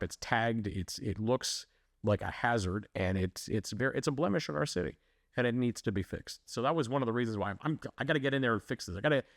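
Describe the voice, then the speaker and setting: A man's voice: fairly low pitch, no echo, clean studio sound. A man running for local council, answering interview questions earnestly, with frequent fillers and restarts. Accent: Canadian English. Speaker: municipal election candidate.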